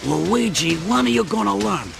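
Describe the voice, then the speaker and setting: An actor playing a bossy, streetwise older-brother plumber with a gravelly voice in a radio play. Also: male voice, sits high, animated, normal speed, over music, heavily acted. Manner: brash, wisecracking, streetwise.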